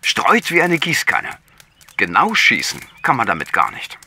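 A man's voice: mid-range voice, wildly swooping pitch, a little slow, bright, forceful tone, breathy edge, exaggerated voice. An actor playing a talkative, matter-of-fact village policeman explaining things emphatically on the phone in a radio play. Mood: eager, informative.

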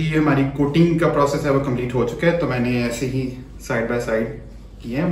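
Man, 30s, chef; calm instructive demo delivery, mid-pitched, roomy kitchen sound. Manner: step-by-step instructive, speaks while working with hands. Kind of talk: cooking demonstration. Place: home kitchen, indoors. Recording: echoey kitchen, faint background noise.

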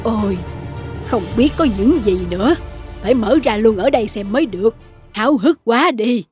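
A woman voicing a greedy, short-tempered old wife in a children's fairy-tale cartoon, sharp and scolding. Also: a little high, expressive, normal speed, faint music behind, close to an everyday voice.

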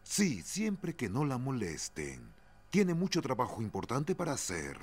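Easygoing cartoon daddy pig, husky, very animated intonation. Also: male voice, medium pitch, a little slow, heavily acted. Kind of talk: children's cartoon dub.

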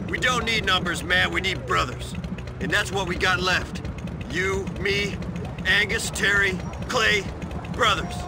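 Higher-voiced, blunt American biker boss. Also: male voice, a little slow. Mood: gruff, wary. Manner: blunt, foul-mouthed, sardonic. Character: jaded, blunt outlaw biker gang leader. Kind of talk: video game cutscene acting. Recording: noisy, street and vehicle rumble behind.

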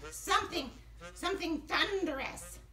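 Excitable squeaky little childlike ghost puppet. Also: male voice, unhurried, echoey room, exaggerated voice. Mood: determined. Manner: whiny, exclaiming, repetitive.

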